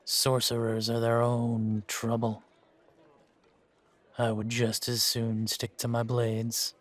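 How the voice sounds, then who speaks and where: A man playing a world-weary, laconic monster-slaying mercenary in a fantasy roleplay audio drama, speaking in a measured, musing way with pauses. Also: medium pitch, completely flat delivery, natural voice. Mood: wary, dry. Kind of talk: fan-made roleplay audio drama, unhurried monologue to a companion.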